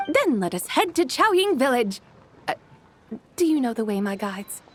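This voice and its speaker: A woman's voice: high-pitched, sing-song, unhurried, soft background music. A woman voicing a serene otherworldly female immortal in a video game, courteous and composed, with formal, poetic phrasing. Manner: formal, composed, flowery diction.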